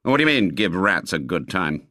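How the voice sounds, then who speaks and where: A man's voice: fairly low pitch, animated, normal speed, bright, forceful tone, no echo, clean studio sound. A man voicing a curt, irritable middle-aged father in a storybook, explaining things with exasperation.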